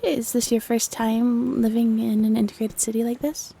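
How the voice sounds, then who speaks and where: A woman's voice: a little high, flat delivery, moderate pace, gravelly, dark tone, slight background noise. A woman voicing a short, friendly young non-human city local in a roleplay audio drama, chatty and a little flustered.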